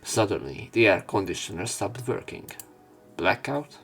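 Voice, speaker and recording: A man's voice: lowish voice, animated, unhurried, a bit bright, breathy edge, soft background music. A man reading visual novel text aloud for a let's play, dropping in casual, sweary asides.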